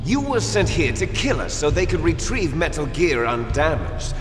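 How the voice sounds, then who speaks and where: An actor playing an arrogant, taunting villainous commander in a video game, declaiming with theatrical contempt. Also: male voice, on the high side, sing-song, moderate pace, music in the background. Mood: contemptuous revelation. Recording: echoey large hall reverb.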